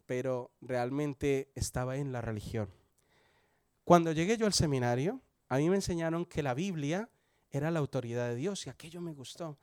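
A man's voice: fairly high pitch, darkish tone, no echo, clean studio sound. A man in his forties from Colombia, with a Colombian accent, giving his testimony at a church service in a conversational way, often asking the listeners questions. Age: 40s. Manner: conversational, asks the audience questions.

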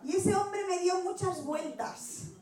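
A woman in her forties from Spain, with an accent from Spain, giving an animated personal testimony as a missionary speaking to a church gathering. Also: extremely high pitch, takes it slowly. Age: 40s. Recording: echoey room, distant microphone.

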